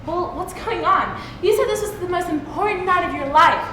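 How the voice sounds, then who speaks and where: A woman's voice: extremely high pitch, normal speed, bright, forceful tone, distant mic. A woman acting a supportive, practical girl who questions her friend in an amateur stage play. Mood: probing. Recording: echoey hall from afar, noisy.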